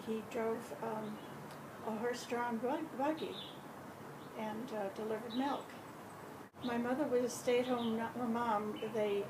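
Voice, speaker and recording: A woman in her seventies from Connecticut reminiscing in an interview, hesitant and pausing mid-phrase. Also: a little high. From Connecticut, United States. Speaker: interviewee, former secretary. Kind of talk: unscripted personal reminiscence. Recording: clear background noise.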